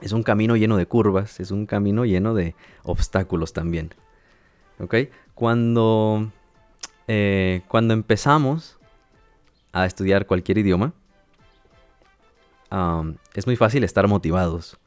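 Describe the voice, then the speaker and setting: Patient male language teacher, lowish voice, dry close mic, soft music bed underneath. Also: no room sound. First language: Spanish. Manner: calm, measured, with teacherly check-ins and light laughs. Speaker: language teacher and podcast host. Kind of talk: educational podcast monologue.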